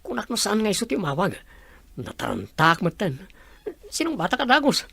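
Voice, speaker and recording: A flustered household worker frets about his boss, as a man acts him out. His gravelly voice sits at a middle pitch and is recorded dry. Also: wildly swooping pitch, brisk, pushed and bright, dry recording, exaggerated voice. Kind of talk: Ilocano audio drama acting.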